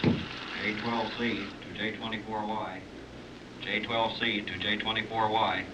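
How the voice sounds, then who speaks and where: A man's voice: fairly low pitch, unhurried. A man playing a terse radio operator in a 1930s serial, sending clipped messages over shortwave. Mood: businesslike, urgent. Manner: clipped, monotone message delivery. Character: terse, businesslike male radio operator calling a boat. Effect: shortwave radio transmission. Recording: harsh, distant, hissy radio sound.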